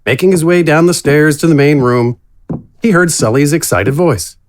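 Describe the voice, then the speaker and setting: A man's voice: a little high, moderate pace, slight background noise. A man narrating a scripted fantasy story as an audiobook-style narrator.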